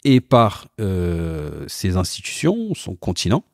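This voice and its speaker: A man's voice: low voice, wildly swooping pitch, slow pace, vocal fry, no echo, studio quality. A man, born in Portugal, chatting animatedly as a guest in an interview, often repeating words while he looks for them. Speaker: guest, car company founder. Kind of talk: casual interview chat.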